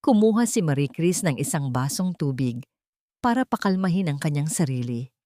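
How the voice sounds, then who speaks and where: A woman's voice: sits low, animated, normal speed, dark tone, dry recording, studio quality. A woman storyteller reading scripted, dramatic narration of a romance drama.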